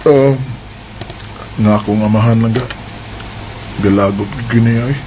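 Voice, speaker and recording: A man's voice: lowish voice, measured pace, dark tone, a little hiss or hum, close to an everyday voice, rough recording. A young man acting the lead in a homemade radio drama, playful and laughing when courting, earnest when troubled.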